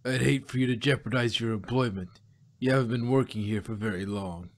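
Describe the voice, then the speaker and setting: Male reader as mild male kitchen manager, patient, creaky voice, measured, story character. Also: mid-range voice, close to an everyday voice. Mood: gently warning.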